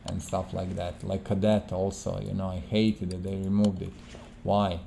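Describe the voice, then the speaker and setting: A man's voice: low voice, flat delivery, moderate pace, creaky, dark tone, a little hiss or hum. A man who is not a native English speaker, giving casual game playthrough commentary in a hesitant way, searching for words and repeating himself. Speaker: gaming video host.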